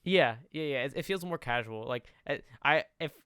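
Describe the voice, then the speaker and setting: A man's voice: mid-range voice, expressive, fairly bright tone, clean tone, no echo, clean studio sound. A man in his early twenties co-hosting a podcast, chatting casually and swearing freely. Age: 20s. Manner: quick, swears, laughs a lot. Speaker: podcast co-host. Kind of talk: casual chat.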